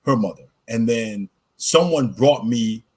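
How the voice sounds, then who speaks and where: A man's voice: medium pitch, lively intonation, a little slow, a little mellow, breathy edge. A man born in Jamaica and raised in the US, talking loosely in a casual interview with lots of "you know" and "right?". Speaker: podcast co-host being interviewed.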